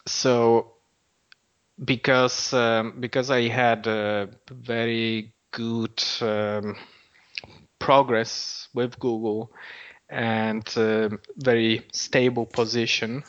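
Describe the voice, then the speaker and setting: A man's voice: medium pitch, flat delivery, very slow, a bit bright. A man answering interview questions in a hesitant way, full of fillers and repeated words. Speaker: nonprofit founder, guest. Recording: dull lo-fi audio.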